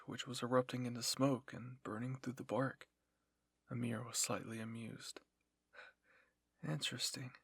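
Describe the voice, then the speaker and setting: A man's voice: mid-range voice, vocal fry, fairly bright tone, husky, dry recording, studio quality. A man narrating a dramatic fan-fiction story as a scripted audio reading.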